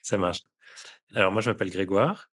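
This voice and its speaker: A man's voice: low voice, brisk, fairly bright tone, breathy edge. A man from France hosts a podcast in a casual, conversational way, hesitant and often searching for his words. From France (Nantes). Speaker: podcast host.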